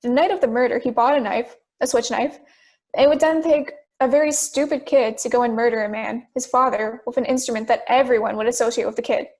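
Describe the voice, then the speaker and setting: Persistent juror, acted by a woman, high voice, logical, pointed questioner, amateur audio drama. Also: steady tone, brisk, darkish tone, natural voice. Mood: reasoning. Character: persistent, logical juror who challenges the others.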